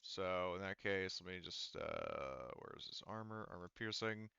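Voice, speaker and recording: A man's voice: low-pitched, expressive, unhurried, creaky, pushed and bright, dull audio, a little hiss or hum. A man running a tabletop roleplaying game, narrating combat and dice rolls in a conversational, profane way. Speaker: game master.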